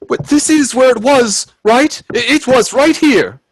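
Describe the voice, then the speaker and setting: A man's voice: extremely high pitch, a little slow, no echo, clean studio sound. A man playing a cautious, anxious Edwardian time traveller in a radio play, earnest and reflective. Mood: alarmed. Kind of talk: radio play acting and narration.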